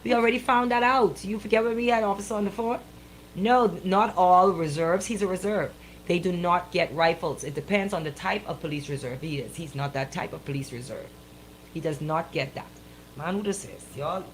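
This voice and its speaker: A woman's voice: lowish voice, moderate pace, a little hiss or hum, rough recording. A woman with a Bahamian accent hosting a radio show, chatting casually in a playful, teasing way. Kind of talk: casual banter and phone-in chat.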